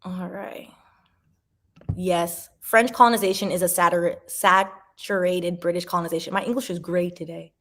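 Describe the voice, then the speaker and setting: A woman in her forties casually chatting to viewers and reading comments, with animated emphasis and sudden jumps in pitch. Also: moderate pace. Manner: talks to viewers directly, sips wine.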